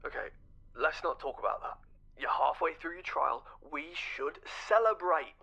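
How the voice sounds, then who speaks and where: An actor playing an affectionate, upbeat partner in an audio drama, hesitant and coaxing in manner. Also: male voice, on the high side, animated, moderate pace, vocal fry, bright, forceful tone, heavily acted. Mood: soothing, coaxing. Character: doting, upbeat male partner, evasive and soothing.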